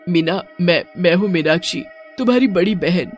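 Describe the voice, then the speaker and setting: A woman's voice: on the low side, animated, normal speed, soft background music, close to an everyday voice. A woman voicing the ghost of a young mother, sorrowful and vengeful. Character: grieving female ghost witch, a young mother.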